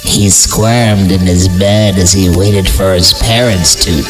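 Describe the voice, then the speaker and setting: A man hosting a horror story podcast, reading deliberately and breaking off for irreverent comic asides. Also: low voice, fairly even intonation. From Fresno, United States. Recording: music bed behind the voice. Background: music.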